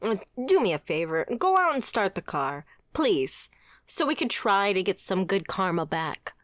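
A woman's voice: mid-range voice, very animated, normal speed, fairly bright tone, dry recording, studio quality. A woman playing a wry, flirtatious female mafia boss with a sardonic, conversational manner in a scripted ASMR roleplay. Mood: exasperated.

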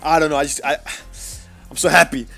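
A nineteen-year-old man from Mexico doing casual, rambling voice-over commentary on his mobile gameplay, excitable and full of jokes. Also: on the high side, brisk, vocal fry, soft background music. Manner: excitable, joking, rambling with lots of fillers. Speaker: mobile gaming YouTuber. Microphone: voice-over recorded apart from the gameplay.

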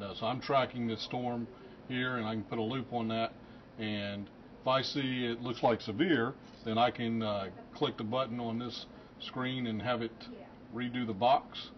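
A middle-aged man, a weather forecaster, giving an informal technical explanation in a halting, matter-of-fact way. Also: medium pitch. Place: forecast office at computer workstation. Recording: muffled audio with clear background noise.

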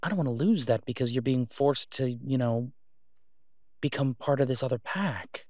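A devoted, reassuring boyfriend, played by a man in an intimate audio roleplay. He talks softly and conversationally in a mid-pitched male voice, halting as he picks his words. The sound is dry, with no room around it. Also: no echo, close to an everyday voice. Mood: worried, hesitant.

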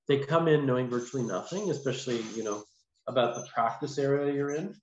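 A man in his forties speaking casually and haltingly as an interview guest over a video call. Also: medium pitch. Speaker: podcast guest, lawyer. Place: small indoor room, video call. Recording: echoey, muffled video call with noise.

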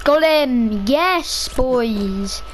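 A young woman chatting casually over her own gameplay, rambling to herself, her voice a little rough from a cough. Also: high voice, expressive, very slow, a little hiss or hum. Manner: chatty, rambling, self-talking. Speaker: gaming video creator.

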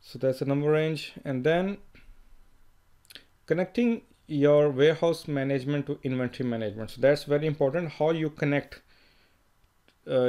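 A man walking through a screen-recorded software tutorial, plainly explaining each step with fillers and self-corrections. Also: a little high. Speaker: software trainer.

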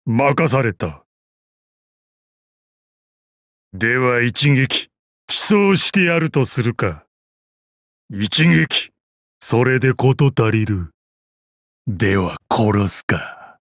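A man playing a calm, deadly old martial arts master and assassin in a video game, with a weathered, measured, wry delivery. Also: mid-range voice, no echo, clean studio sound, exaggerated voice. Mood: fierce, commanding. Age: older. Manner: measured, wry, explosive battle cries. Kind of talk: video game battle voice lines.

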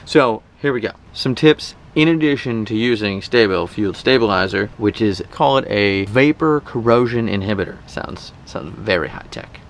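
Low-pitched man, casual vlog host. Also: lively intonation, normal speed, hardly any pauses. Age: 30s. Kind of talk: casual sponsored talk to camera. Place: outdoors in a boat cockpit at a marina. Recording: faint outdoor marina background noise.

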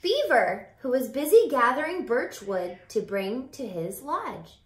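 A woman in her thirties reads a picture book to young children. Her delivery is slow and expressive, with drawn-out words and little asides to the listeners. The recording has the roomy echo of a camera mic in a home. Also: fairly high pitch. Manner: animated, deliberate, drawn-out phrasing with hushed whispers. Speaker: teacher reading a picture book aloud. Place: small home room by a window.

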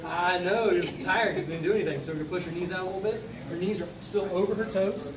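A man's voice: high-pitched, fast talker, a crowd in the background. A man in his thirties, a coach, explaining squat form to the camera in an upbeat, instructive way inside a large gym. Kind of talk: coaching demonstration. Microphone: camera mic from across the room. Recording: echoey gym from far off, noisy.

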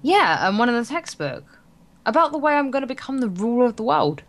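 A woman playing an excitable, ambitious schoolgirl in a visual novel, gushing and earnest. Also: fairly high pitch, fairly quick. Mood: earnest, insistent. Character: excitable, ambitious schoolgirl dreaming of world rule.

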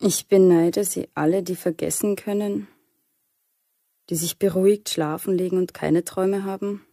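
An actress reciting admonishing verse in a radio drama, measured, grave and melancholy. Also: female voice, lowish voice, dark tone, slightly rough, no echo, studio quality, exaggerated voice.

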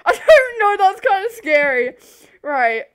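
High, swooping young woman's voice. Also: unhurried. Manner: animated, rambling, giggly, easily sidetracked. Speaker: video host. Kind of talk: casual storytelling to camera. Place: bedroom.

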